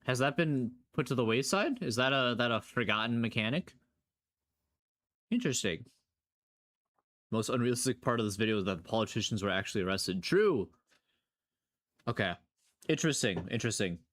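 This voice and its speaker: A man's voice: medium pitch, animated, normal speed, long pauses, fairly bright tone, dry recording. A man streaming casual reaction commentary, rambling and playful, often questioning what he watches. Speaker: streamer reacting to horror videos.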